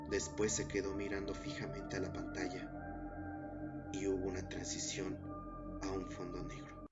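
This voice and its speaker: Low-voiced man narrating horror over loud music. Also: monotone, normal speed. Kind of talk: scripted horror story narration. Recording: dull sound under loud music bed. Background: loud background music.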